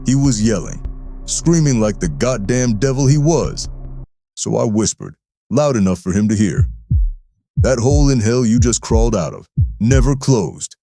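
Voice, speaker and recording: An actor playing a stern, controlled chief, narrating an audio drama in a deliberate, hard-boiled manner. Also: male voice, medium pitch, animated, dark tone, faint music behind, natural voice. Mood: grim, deadly. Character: stern, armed male chief, controlled and unflinching. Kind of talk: first-person audio drama narration and dialogue.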